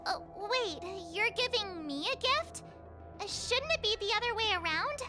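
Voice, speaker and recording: A woman's voice: extremely high pitch, animated, normal speed, sharp tone, exaggerated voice. A woman voicing a cheerful, curious teenage girl in an anime game, perky and easily flustered. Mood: flustered, puzzled. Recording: music playing behind.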